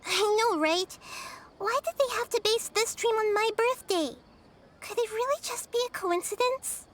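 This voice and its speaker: A woman voicing a gentle, wise young girl deity in a video game, speaking in a measured, earnest way. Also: extremely high pitch, a little hiss or hum. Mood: troubled.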